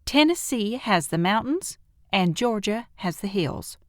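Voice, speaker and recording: A woman's voice: mid-range voice, lively intonation, measured pace, no echo, clean studio sound, pitched above the performer's own voice, close to an everyday voice. A woman voicing a prim, reproving elderly Southern grandmother in an audiobook. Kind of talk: audiobook character voice.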